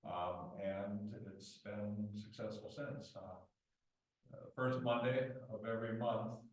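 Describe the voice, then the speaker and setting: Loud-voiced marshal, far off in an echoey room. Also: male voice, on the low side, little pitch movement. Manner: plain, halting, many uh fillers. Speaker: village marshal. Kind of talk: report at a committee meeting. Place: village committee meeting room.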